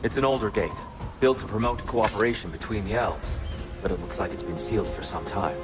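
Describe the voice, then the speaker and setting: A man playing a gentle, weary pacifist god in a video game, speaking in a solemn, measured, formal way. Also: lowish voice, close to an everyday voice. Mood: calm, explanatory. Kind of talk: video game character acting. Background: music.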